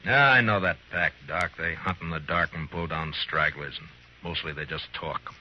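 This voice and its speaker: An American actor playing a steady, unbending frontier lawman in an old radio western, terse and authoritative, on a vintage recording. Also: rumbling low, moderate pace, highs cut off. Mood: grim, steady. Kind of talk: old-time radio drama acting.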